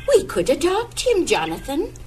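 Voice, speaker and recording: A woman's voice: very high voice, wildly swooping pitch, normal speed, slight background noise, exaggerated voice. A woman playing a tender, hopeful farm wife longing for a child, in an old-fashioned audio comic. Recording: loud music bed behind voice.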